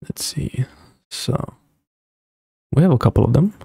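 A man's voice: medium pitch, lively intonation, a little slow, gravelly, mellow, slightly rough. A young man giving casual, slangy reaction commentary as a streamer in a laid-back manner. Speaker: streamer reacting to game trailers. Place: small indoor room, streaming setup. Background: game trailer music.

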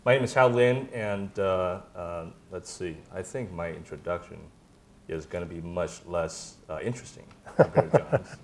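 A man's voice: sits low, moderate pace, a little hiss or hum. A man in his forties, from Taiwan, speaking as a panelist on a conference stage, modest and hesitant with many fillers. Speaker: panelist, tech executive. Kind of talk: panel discussion.

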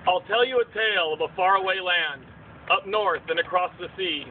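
A man playing a storybook bard, narrating a puppet show in measured, declamatory verse. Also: sits high, close to an everyday voice. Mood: solemn. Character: storybook bard narrator telling a seaside legend. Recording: tinny outdoor recording, faint noise.

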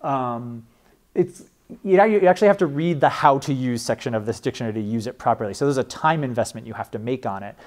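A man's voice: medium pitch, fast, a little mellow. A young man, a librarian, teaching a class in a casual, chatty way with frequent fillers and restarts. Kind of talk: informal classroom talk.

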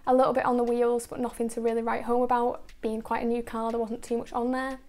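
A woman narrating a car detailing video in a steady, explanatory voice-over, going through each step and product methodically. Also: a little high, fast talker. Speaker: car detailing channel host.

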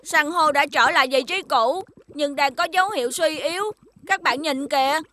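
A woman's voice: high-pitched, moderate pace, sharp tone, a little hiss or hum. A woman voicing a clever, take-charge child hero in a children's cartoon, earnest and decisive. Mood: urgent. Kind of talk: animated children's series dubbing.

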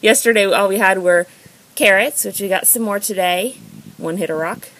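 A woman's voice: mid-range voice, moderate pace, fairly bright tone. An American woman vlogger chatting informally as she talks through things she is filming on a handheld camera. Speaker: home vlogger. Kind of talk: casual haul voice-over while filming. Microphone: handheld camera or phone, filming items. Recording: noisy handheld amateur recording, clicks.

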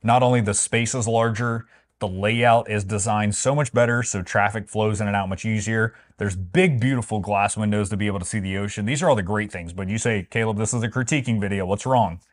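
A man's voice: lowish voice, fairly even intonation, a little fast. A man co-hosting a travel vlog, chatting casually and giving opinions in a playful, wry way with mock-grand emphasis. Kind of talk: casual opinionated review chat.

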